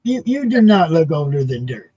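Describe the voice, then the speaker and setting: A man of about sixty who produces a live stream, joking loosely and talking in quick bursts. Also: a little high, very animated, a crowd in the background. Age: 60s. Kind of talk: casual chat on a live stream.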